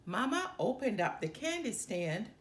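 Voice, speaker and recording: A woman reading a children's picture book aloud in an expressive, measured storytelling manner. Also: on the high side. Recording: echoey room with faint background noise.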